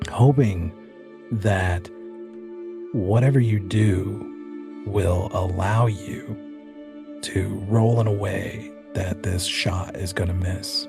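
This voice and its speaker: A man acting as game master, narrating a tabletop game with dramatic storytelling and casual banter. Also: deep voice.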